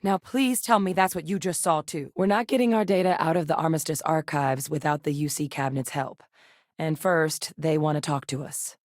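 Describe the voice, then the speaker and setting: An actress playing a seasoned, determined woman scientist and soldier in a video game, speaking in an earnest, measured, matter-of-fact way. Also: sits low, no echo, clean studio sound, lightly acted. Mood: serious, measured. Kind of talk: video game character dialogue.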